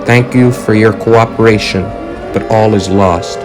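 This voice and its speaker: A man acts out a solemn, resigned President addressing the nation in a scripted doomsday broadcast. His voice is fairly low, grave and measured. Music plays clearly behind him. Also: fairly even intonation, close to an everyday voice.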